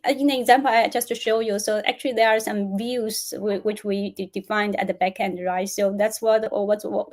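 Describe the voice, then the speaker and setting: A woman presenter answers product questions off the cuff, repeating words and phrases as she explains and checking in with "right?". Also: medium pitch. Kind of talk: live product Q&A, spontaneous explaining.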